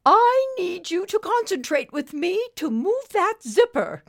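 A grand British lady serpent from a storybook. Her pitch sits very high and swoops dramatically. She gushes and draws out her exclamations with flustered, self-pitying charm. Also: big pitch swings, fairly bright tone, heavily acted. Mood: urgent. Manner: posh, gushing, melodramatic, drawn-out exclamations.

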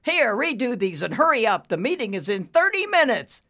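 An arrogant bank boss played by a woman barks out his lines with loud, demanding force. His pitch jumps around as he bullies and dismisses people, in the manner of an audiobook villain. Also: big pitch swings, measured pace. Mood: angry, shouting. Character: rude, bullying, arrogant male bank boss. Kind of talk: audiobook character voice.

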